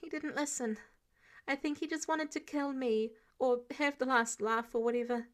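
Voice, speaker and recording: A woman's voice: high voice, steady tone, normal speed, close to an everyday voice. A woman voicing an anxious, guilt-ridden teenage superhero boy in a story reading, hesitant and subdued. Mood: guilty, subdued. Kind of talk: character dialogue in fan fiction reading.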